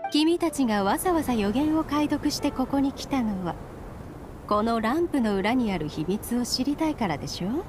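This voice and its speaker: A woman's voice: on the high side, animated, normal speed, music in the background, close to an everyday voice. A woman playing a composed, sly young female visitor in an anime game, teasing and assured. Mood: formal, poised. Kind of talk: Japanese anime game acting.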